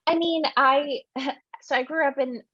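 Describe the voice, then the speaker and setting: A woman's voice: sits high, steady tone, unhurried, pushed and bright, no echo. A woman from Ecuador, raised in New York, answering questions as the guest author at a virtual book event, thoughtful and digressive with many fillers. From Ecuador, raised in Queens, New York. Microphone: video call. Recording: dry, muffled video-call audio.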